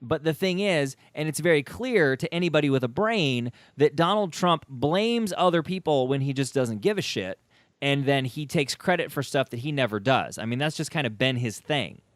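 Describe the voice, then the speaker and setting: A man from the United States hosts a conversational podcast. His voice sits a little high and is recorded dry and close, with little room sound. He talks in an opinionated, emphatic manner. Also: lively intonation, moderate pace, no room sound. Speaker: podcast host. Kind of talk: conversational interview.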